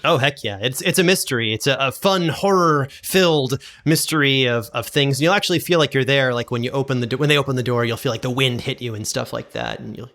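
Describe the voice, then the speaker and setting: A man's voice: medium pitch, fast, hardly any pauses, a bit bright. A man chatting casually as an interview guest, easygoing and agreeable. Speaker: podcast guest, audio drama producer.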